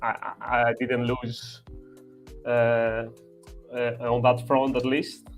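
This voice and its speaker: A man's voice: mid-range voice, flat delivery, faint music behind. Hesitant young man from Albania. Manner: hesitant, many "uh" pauses, slightly broken English. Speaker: guest. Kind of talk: casual chat.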